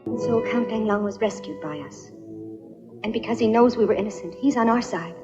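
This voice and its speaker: An actress playing a devoted young princess in a dubbed historical adventure film, speaking earnestly and pleadingly. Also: female voice, fairly high pitch, fairly quick, natural voice. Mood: earnest, urgent. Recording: dull dubbed film soundtrack, brassy music behind.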